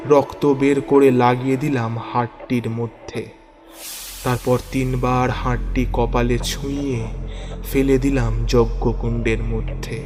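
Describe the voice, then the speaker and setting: A man narrating a first-person horror story theatrically, with halting, suspenseful pauses. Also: mid-range voice. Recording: long reverb, music bed underneath. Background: background music.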